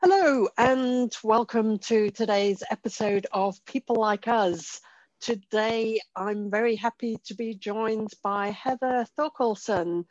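A woman's voice: fairly high pitch, no echo, highs cut off. A woman hosting an interview podcast, introducing and questioning her guest. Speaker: podcast host.

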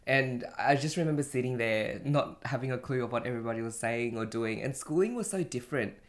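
A guest from Cambodia, telling stories in relaxed casual chat with laughter and restarts. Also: mid-range voice, brisk.

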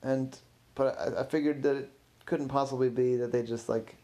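A man's voice: mid-range voice, steady tone, normal speed, dark tone, pitched above the performer's own voice, close to an everyday voice. A man voicing a tired, defensive and guilty museum technician in a story, mumbling and stammering. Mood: guilty, pleading.

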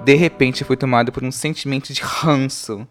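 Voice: mid-range voice, steady tone, normal speed, soft background music. A podcast host with a Brazilian accent, colloquial and playfully dramatic in delivery, moving between scripted storytelling and casual chat.

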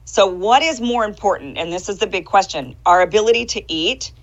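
A woman's voice: mid-range voice, brisk. A woman in her forties speaking emphatically and rhetorically as a panelist in a political discussion. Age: 40s. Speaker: panelist on a political talk show. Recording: slightly dull, band-limited audio.

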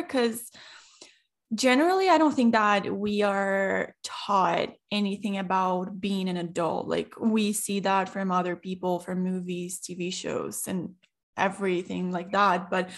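A woman hosting a podcast, talking casually and chatting with her guest. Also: medium pitch. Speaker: podcast host.

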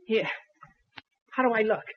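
A man's voice: high-pitched, lively intonation, unhurried, very noisy. An actor playing an earnest, flustered teenage boy with an eager, exclamatory manner in a 1940s radio comedy. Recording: muffled 1940s radio broadcast.

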